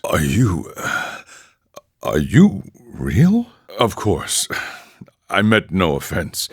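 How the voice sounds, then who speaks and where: A calm weary wandering monk for a video game, really deep male voice, formal and philosophical, lots of rise and fall. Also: no room sound, clean studio sound, full character voice. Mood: musing, calm. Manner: measured, philosophical, formal speech. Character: serene, world-weary wandering ascetic monk. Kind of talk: video game character dialogue.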